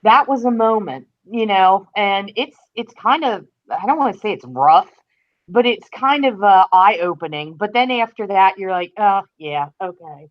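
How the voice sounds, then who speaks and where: A woman's voice: mid-range voice, lively intonation, measured pace, muffled recording. A woman in her fifties cracking dry jokes as a podcast co-host in casual chat. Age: 50s.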